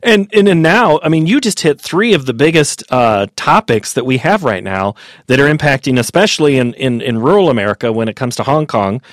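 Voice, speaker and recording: A man's voice: medium pitch, lively intonation, fairly quick, no echo. Male host, conversational and rambling, dry close recording. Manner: rambling, conversational, with repeated words. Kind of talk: interview questions.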